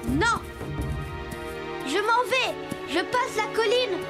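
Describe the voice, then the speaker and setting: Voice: very high voice, animated, slow pace, heavily acted. An actor voicing a stubborn, boastful little boy beaver in a children's cartoon, childish and defiant in manner. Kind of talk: animated children's cartoon acting. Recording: music behind the voice.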